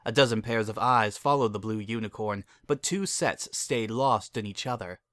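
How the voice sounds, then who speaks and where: A man's voice: lowish voice, normal speed, a bit bright, no room sound, studio quality. A man narrating a story as a scripted dramatic reading.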